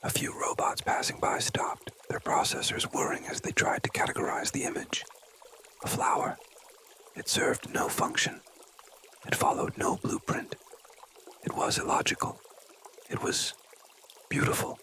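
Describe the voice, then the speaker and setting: A storyteller whispers a children's bedtime tale in ASMR style. The whisper is bright and breathy, with no voiced tone. Phrases come deliberately, in short pieces with frequent pauses.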